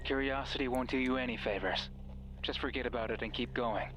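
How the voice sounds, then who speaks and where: A man playing a mysterious, controlling horror game guide with a measured, ominous and coaxing delivery. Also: mid-range voice, steady tone, a bit bright, a little hiss or hum, close to an everyday voice, rough recording. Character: mysterious controlling male radio voice guiding a listener, sinister. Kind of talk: horror visual novel voice acting.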